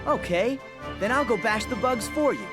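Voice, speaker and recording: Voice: mid-range voice, animated, normal speed, over music, lightly acted. An actor playing an eager, plucky teenage boy in a video game, earnest and straightforward. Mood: determined.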